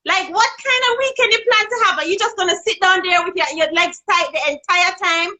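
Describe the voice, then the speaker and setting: Bright high-pitched Jamaican woman. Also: a little fast. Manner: frank and outspoken, rising in pitch when making a point. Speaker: guest on a live video chat. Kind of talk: candid casual chat about dating and sex. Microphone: phone held in hand.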